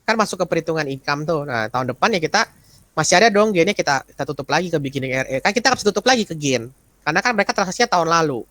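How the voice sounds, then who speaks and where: A man's voice: fairly high pitch, animated, rapid-fire, fairly bright tone, no room sound. Man teaching in dry, close sound. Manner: conversational, often checking understanding with short tags. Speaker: accounting lecturer. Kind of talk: informal explanatory teaching with question and answer.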